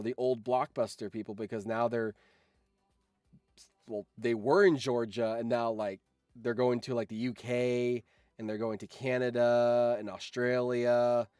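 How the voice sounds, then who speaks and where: A man talks off the cuff on a livestream in a mid-pitched voice, recorded dry and close. He rambles from one thought into the next in an easygoing chat style. Swearing slips freely into his speech. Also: a little mellow, no echo. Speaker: streamer and video editor chatting with viewers.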